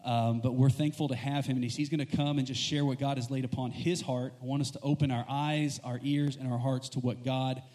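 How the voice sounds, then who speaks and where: A man introducing a guest speaker from the pulpit as pastor, halting at times. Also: medium pitch, level tone, warm tone.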